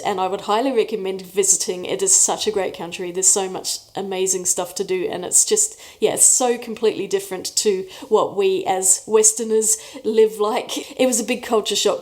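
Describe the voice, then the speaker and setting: A woman's voice: mid-range voice, a little mellow. A woman, chatting casually in a Q&A vlog and laughing often as she tells stories. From New Zealand. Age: 40s. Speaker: art YouTuber. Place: room away from her usual studio.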